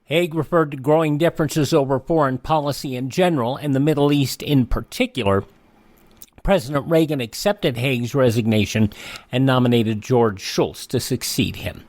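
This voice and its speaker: A man hosting a radio show, reading a this-day-in-history rundown with offhand asides and quick self-corrections. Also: mid-range voice, darkish tone, dry recording. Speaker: radio host.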